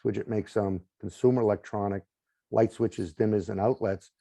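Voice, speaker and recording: Gravelly low Boston man explaining tech. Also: fairly even intonation, normal speed, dark tone, no echo. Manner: explanatory, lists items, frequent "right?" tags. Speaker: smart home company guest. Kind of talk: conversational interview.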